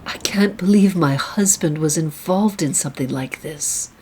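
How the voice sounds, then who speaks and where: A woman playing a frightened, pleading wife betrayed by her husband, breathy and dramatic, in a noir radio play. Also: low voice, normal speed, darkish tone, slight background noise, natural voice. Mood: subdued. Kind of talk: noir radio melodrama acting.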